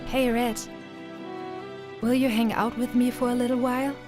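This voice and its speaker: Young female trainer, anime dub played by a woman: higher voice, earnest and expressive, background music. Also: moderate pace, lightly acted. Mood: gentle, earnest. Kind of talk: anime-style manga dub acting. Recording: music under the voice.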